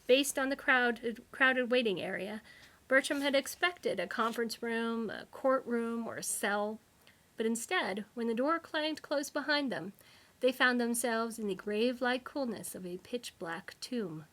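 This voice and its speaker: A woman reading a humorous science fiction novel aloud, with wry, expressive storytelling. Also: a little high, unhurried. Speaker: author reading own book. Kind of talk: scripted narration of humorous sci-fi novel.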